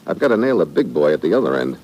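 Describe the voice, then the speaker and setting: A man's voice: a bass voice, big pitch swings, rapid-fire, dull audio. An actor playing a tough, terse American secret agent who narrates his own adventure in old radio drama style. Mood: terse, businesslike. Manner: clipped, hard-boiled, matter-of-fact. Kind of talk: 1950s radio adventure acting, hard-boiled narration. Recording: vintage radio drama recording.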